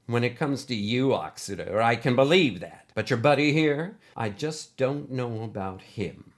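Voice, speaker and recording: A man's voice: mid-range voice, expressive, measured pace. A man voicing a menacing older basketball coach in a storybook, ominous, sneering and taunting. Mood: threatening.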